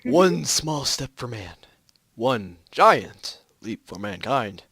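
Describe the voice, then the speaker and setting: A man's voice: medium pitch, big pitch swings, slow, fairly bright tone, slightly rough, no room sound, clean studio sound, heavily acted. A man playing a nervous American astronaut who is alarmed and hesitant as he reports from the moon in an amateur radio-play style reading. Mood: solemn. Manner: hesitant, panicky delivery.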